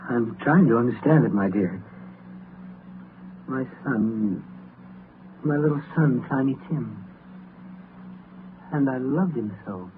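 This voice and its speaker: An actor playing a gentle, grieving father in a 1930s radio drama, tender and subdued. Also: medium pitch, takes it slowly, long pauses, natural voice. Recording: tinny, faintly noisy 1930s radio recording.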